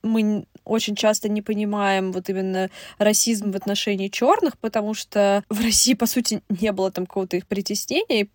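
A woman from Russia chats on a podcast, stacking quick asides and fillers. Her voice sits in a middle range. The sound is dry and close, with no room echo. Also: medium pitch. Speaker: podcast co-host. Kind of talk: casual podcast chat.

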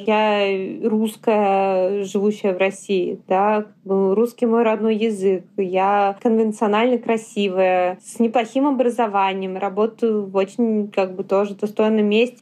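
A woman from Russia, a podcast guest, telling personal stories candidly in a lively way and acting out the dialogue. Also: medium pitch. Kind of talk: casual storytelling.

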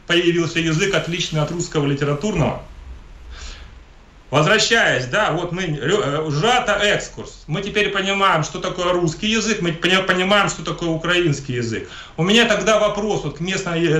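A man's voice: on the high side, a bit bright. A man from Ukraine, an interview guest giving emphatic, polemical political commentary and often repeating himself. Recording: echoey room.

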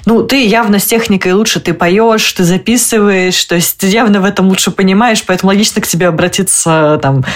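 A woman with a mid-range voice hosting a podcast. She talks in a friendly, conversational way and asks questions in a relaxed back-and-forth. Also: medium pitch, brisk, few pauses. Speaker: podcast host, Latin teacher. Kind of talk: casual interview chat.

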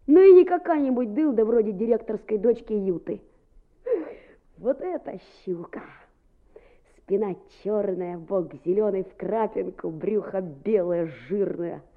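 An actress playing a lively, boastful small schoolboy in a radio play, muttering and exclaiming expressively to himself. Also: female voice, mid-range voice, a little slow, phone-quality sound. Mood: musing. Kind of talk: Soviet-style radio play acting.

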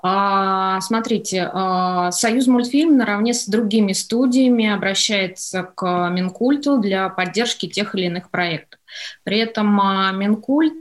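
A woman answering interview questions as an executive, explaining in a measured, businesslike way with hesitant pauses mid-phrase. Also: mid-range voice. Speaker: studio executive, interview guest.

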